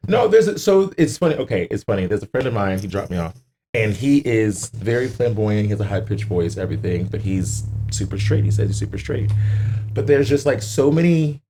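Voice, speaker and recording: A man chatting casually on a podcast, joking and exclaiming in a raspy voice with plenty of swearing. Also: fairly low pitch, a little fast, a little hiss or hum. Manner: animated, exclaiming, joking, profane.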